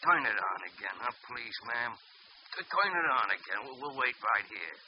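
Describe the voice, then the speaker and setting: A man's voice: mid-range voice, lively intonation, normal speed, close to an everyday voice. A man playing a stammering, polite sidekick. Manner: hesitant, stammering, overly polite. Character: polite, halting male sidekick crook. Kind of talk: 1940s radio acting. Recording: dull old radio recording with clear hiss.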